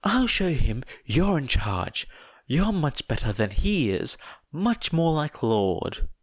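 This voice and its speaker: Guy doing big dumb loyal giant monster for an audiobook, voice way up high, slow and adoring. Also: very animated, no echo, exaggerated voice. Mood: indignant, protective. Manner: slow, exclaiming, devoted. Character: giant, doting, simple-minded male monster servant, eager and loyal.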